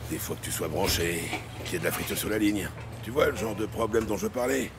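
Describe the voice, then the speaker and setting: An actor playing a hard-boiled, corrupt police lieutenant in an audio crime drama, curt and sardonic, with a gravelly voice. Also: male voice, on the low side, quick pace, noisy background, close to an everyday voice. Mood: dry, threatening. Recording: loud music under the voice.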